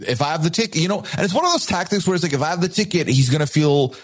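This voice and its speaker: A man's voice: a little high, lively intonation, racing. A man from Dubai co-hosting a casual podcast, recapping a reality show with emphatic, opinionated remarks. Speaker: podcast co-host.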